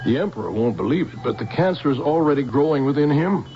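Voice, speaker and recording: An American actor playing a protective, fatherly older scientist in a radio drama, speaking gently and earnestly with hesitant pauses. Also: medium pitch, expressive, slight background noise, lightly acted. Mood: grave. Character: protective older scientist, tender fatherly guardian to a young woman. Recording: dull old radio recording with music.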